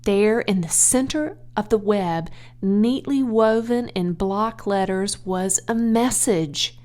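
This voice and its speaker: A woman narrates a children's audiobook. Her voice sits at a medium pitch, and she tells the story expressively at a slow, measured pace. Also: a little mellow. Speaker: audiobook reader.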